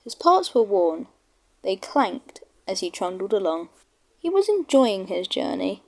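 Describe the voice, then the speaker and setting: A woman's voice: mid-range voice, very animated, unhurried, darkish tone. A woman narrating a children's storybook from a script.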